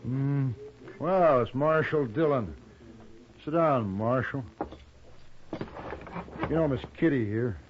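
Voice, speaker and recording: An actor playing a cold, taunting hired gunman in a 1950s radio western, speaking in terse, unhurried lines. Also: medium pitch, natural voice. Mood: smug, taunting. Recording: muffled, noisy 1950s radio recording.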